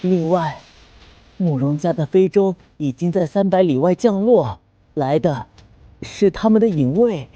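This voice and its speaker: A woman's voice: on the low side, lively intonation, measured pace, warm tone, lo-fi sound, soft background music. A woman playing a serious subordinate officer giving a scripted, dramatic report. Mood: grave. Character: serious female subordinate reporting enemy movements.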